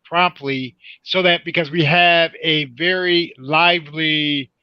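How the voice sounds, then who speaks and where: Male guest playwright, measured delivery, dry and dull sound. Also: on the high side, steady tone, clean tone, no room sound. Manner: deliberate, careful delivery. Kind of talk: announcing event details.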